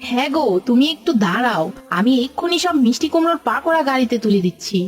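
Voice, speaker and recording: A woman's voice: sits high, expressive, fast talker. A woman voicing an eager, scheming village wife in a cartoon, with emphatic, exclaiming delivery. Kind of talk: dramatized cartoon dialogue. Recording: music bed behind the voice.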